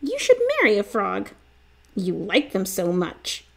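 A woman's voice: medium pitch, huge pitch swings, unhurried, gravelly, heavily acted. A woman voicing teasing children in a storybook, with an emphatic delivery. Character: teasing young friends of a storybook boy. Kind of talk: children's storybook character reading.

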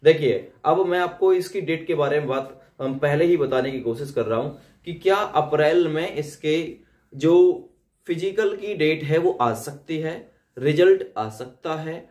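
A man's voice: fairly high pitch, measured pace, darkish tone, echoey room. A young man explains updates informally to students, repeating himself and adding tag questions. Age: young adult. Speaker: host of exam update videos.

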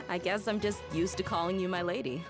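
A woman's voice: medium pitch, wildly swooping pitch, normal speed. A woman playing a tough, free-spirited young mercenary bodyguard in a video game, self-assured and casual. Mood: amused. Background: background music.

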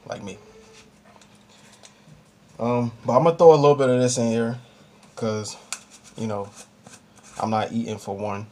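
A man's voice: mid-range voice, steady tone, unhurried, long pauses, warm tone. A young American man chatting casually as a home cooking vlogger, with a slangy, offhand way of talking. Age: young adult. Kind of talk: casual chat while demonstrating cooking. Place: small home kitchen, indoors. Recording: clearly noisy amateur camera recording.

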